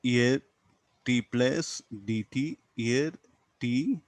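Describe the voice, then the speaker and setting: A man with an Indian accent teaching physics, explaining step by step in a halting way, repeating words and tagging on "huh" and "okay". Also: medium pitch, no room sound. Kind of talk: classroom lesson, explaining a worked problem.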